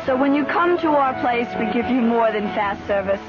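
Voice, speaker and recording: Female commercial voice: fairly high, scripted, loud music, muffled vintage sound. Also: expressive, a little fast. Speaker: commercial spokeswoman. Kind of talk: scripted 1970s radio advertisement. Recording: dull 1970s radio recording, loud music.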